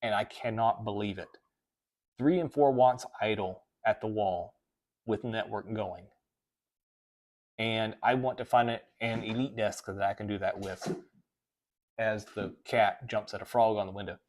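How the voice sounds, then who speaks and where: A man's voice: on the low side, a little slow, pauses often, a little mellow. Man, southern US accent, casual tech livestream host, rambling, reads chat aloud. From American South. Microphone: desk microphone.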